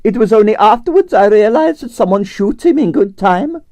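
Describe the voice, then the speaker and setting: A man voicing a flamboyant aging Russian ballerina with a Russian accent and broken English, in a high, swooping, theatrical voice for an audiobook. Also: expressive, moderate pace, warm tone, dry recording. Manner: broken English, grand declarations.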